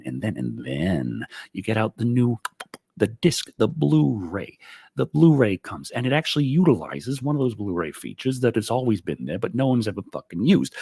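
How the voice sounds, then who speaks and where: A man hosting a livestream and reviewing films in casual, excitable, rambling chat, repeating himself and swearing freely, with a husky voice. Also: on the low side. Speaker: livestream host and film reviewer.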